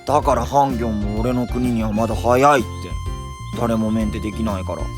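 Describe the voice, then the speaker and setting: An actor playing an excitable, reckless boy knight in an anime-style voice drama, brash and exclamatory. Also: mid-range voice, brisk, over music, lightly acted. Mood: sulky.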